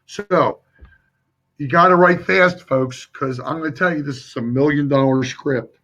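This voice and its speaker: Hoarse male sales coach, husky. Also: a little high, a little slow, a bit bright. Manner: emphatic, coaching, addresses viewers directly. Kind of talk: live-stream sales training. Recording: dull lo-fi stream audio.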